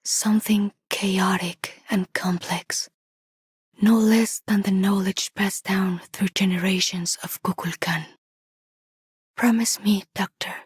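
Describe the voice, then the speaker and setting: A woman voicing a mysterious, solemn young keeper of ancient knowledge in a game, in a breathy whisper. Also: medium pitch, no echo, studio quality. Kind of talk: game character voice acting.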